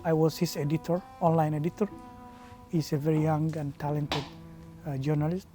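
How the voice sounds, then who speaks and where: A man from Somalia, a journalist, recalling his experiences in slow, halting testimony. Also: fairly high pitch, flat delivery, mellow, soft background music. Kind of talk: documentary testimony. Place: United Kingdom.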